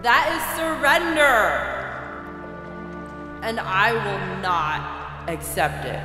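An actor playing a bitter, defiant young alien tribesman in a radio drama, declaiming forcefully. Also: high-pitched, big pitch swings, extremely slow, full character voice. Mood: angry, defiant. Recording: cavernous echo, faint music.

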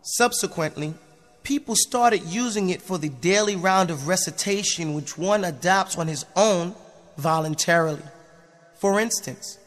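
A man's voice: high-pitched, a little slow, fairly bright tone. A man narrating scripted religious commentary in an explanatory style. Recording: long hall-like reverb.

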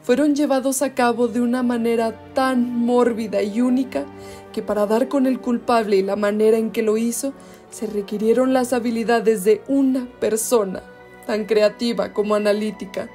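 A woman's voice: on the high side, steady tone, moderate pace, mellow, faint music behind, close to an everyday voice. A woman voicing a solemn ghostly female narrator in a student radio drama.

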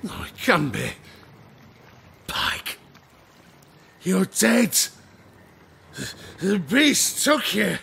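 An actor playing a rambling drunken sailor in an audio drama, with slurred, drawling speech. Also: male voice, high voice, very animated, drawn-out words, long pauses, sharp tone, exaggerated voice. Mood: frightened pleading. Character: rambling drunken male sailor, tavern storyteller. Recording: clear background noise.

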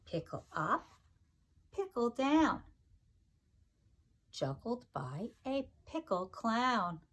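A middle-aged woman with a fairly high voice reads a children's picture book. She takes it slowly and deliberately, with a sing-song lilt. Chatty asides to her young listeners break up the expressive reading. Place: kitchen-like room at home, indoors.

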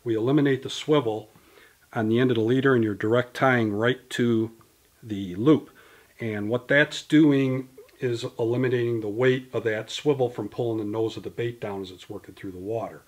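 A man's voice: medium pitch, normal speed. Man, fifties, tackle company guest; detailed, explanatory delivery, filmed on a camera mic in a small indoor studio. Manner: detailed, explanatory, gesturing with products in hand. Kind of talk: product explanation interview. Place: indoor studio in front of a banner.

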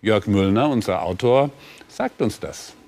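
A man's voice: on the low side, expressive, measured pace. A man presenting a scripted introduction to a documentary programme.

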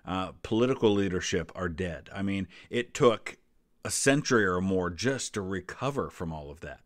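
A man's voice: low voice, expressive, moderate pace, no echo. An American man in his forties hosting a history channel with casual, conversational reaction commentary and explanatory asides. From United States.

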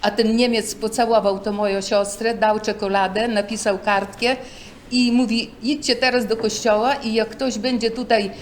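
A woman's voice: on the high side, steady tone, moderate pace, slight background noise. A woman, telling a personal story in a rambling, colloquial, vivid way. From Poland, Podlasie countryside. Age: late 70s. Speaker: senior sharing memories.

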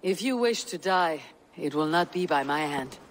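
An actor playing a stoic, blunt Viking warrior in a video game, loyal but wary, with a terse and measured delivery. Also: male voice, fairly high pitch, lively intonation, fairly bright tone, in a large hall, a little hiss or hum. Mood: wary, troubled. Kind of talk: dramatic video game acting.

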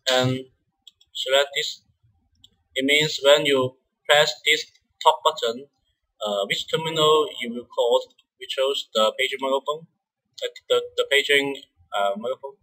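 A man who is a non-native English speaker presents a step-by-step software tutorial in a halting way, often repeating words. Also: medium pitch, fairly even intonation, low-quality recording. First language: not English.

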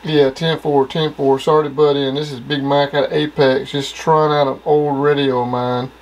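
A man's voice: fairly high pitch, flat delivery, moderate pace. A man giving a casual demonstration of gear as a hobbyist. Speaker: radio hobbyist reviewing gear. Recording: clear background noise.

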